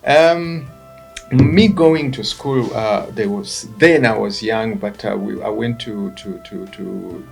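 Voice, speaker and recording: Gravelly-voiced Ugandan man, halting speech. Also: on the low side, faint music behind, low-quality recording. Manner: halting, restarts words, quiet then rising when animated. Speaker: guest, event company founder. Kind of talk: interview answers.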